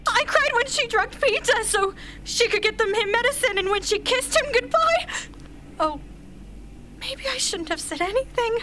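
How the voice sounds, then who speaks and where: A woman's voice: extremely high pitch, animated, normal speed, pushed and bright, slight background noise, exaggerated voice. A woman playing a gushing, sentimental stylist in an amateur radio drama. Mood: earnest, sentimental. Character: gushing, sentimental high-pitched female stylist.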